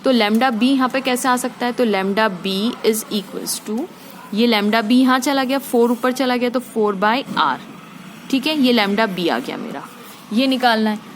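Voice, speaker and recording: A woman's voice: fairly high pitch, slow, slight background noise. A woman teacher explaining a physics problem step by step, often asking her students checking questions and mixing in English terms.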